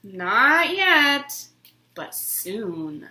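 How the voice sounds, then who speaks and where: A woman voicing an eager, proud and determined young boy in a dramatic storybook reading, with an excitable, emphatic manner. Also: on the high side, drawn-out words, slight background noise, exaggerated voice. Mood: hesitant. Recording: roomy, echoing room sound.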